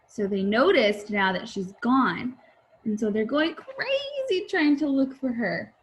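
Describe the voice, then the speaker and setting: A woman's voice: fairly high pitch, low-quality recording. A woman teacher reading a storybook aloud and explaining it simply to young children. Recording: faint background noise.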